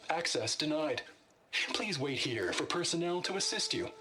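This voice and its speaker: A man's voice: a little high, animated, moderate pace, sharp tone, faint music behind. A man voicing a polite, impersonal automated facility announcer in a video game, formal and courteous. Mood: impersonal, officious.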